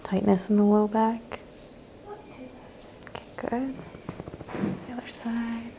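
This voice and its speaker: A woman speaking softly and breathily, at times in a whisper, as a gentle, reassuring practitioner in an ASMR role-play. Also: fairly high pitch, fairly even intonation, slow, background noise. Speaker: ASMR host role-playing a chiropractor.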